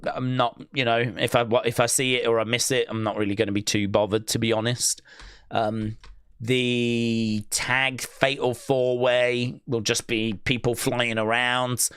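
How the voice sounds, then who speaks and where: A man's voice: medium pitch, flat delivery, measured pace, a bit bright, clear, resonant tone, no room sound, clean studio sound. A man hosting a live stream, chatting casually and reading out viewer comments, with many fillers and restarts. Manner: rambling, lots of fillers and restarts. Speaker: streamer hosting a wrestling watch-along.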